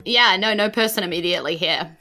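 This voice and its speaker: A woman's voice: lowish voice, brisk, sharp tone, faint music behind. A woman with a New Zealand accent narrating a tabletop fantasy game as game master, descriptive and conversational.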